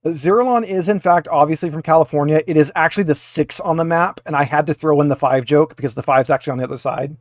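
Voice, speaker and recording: A man running a tabletop roleplaying game as game master, narrating in a halting, conversational way. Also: a little high, no room sound, studio quality.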